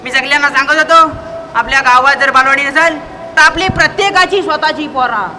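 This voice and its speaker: An earnest village orator played by a stage performer declaims a memorised speech in a very high, piercing voice. The delivery is quick and recited, as in school theatre. A light noise of the live stage surrounds it. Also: bright, forceful tone. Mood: declamatory. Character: earnest village speechmaker repeatedly restarting his rehearsed speech. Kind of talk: declamatory school stage comedy acting in Marathi.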